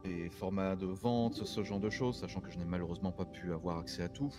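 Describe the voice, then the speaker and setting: A man playing a formal, halting investigator, music behind. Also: deep voice, natural voice. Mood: careful, grave. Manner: measured, halting, formal phrasing. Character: male investigator, formal, methodical, hesitant and tactful. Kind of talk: improvised video game roleplay dialogue. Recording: music over the voice.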